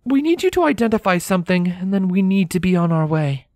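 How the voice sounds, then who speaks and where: A man's voice: high-pitched, sing-song, quick pace, a little mellow, no echo, clean studio sound, pitched above the performer's own voice. A man voicing a composed, guarded female unicorn who speaks politely and firmly in a story reading. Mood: apologetic, firm.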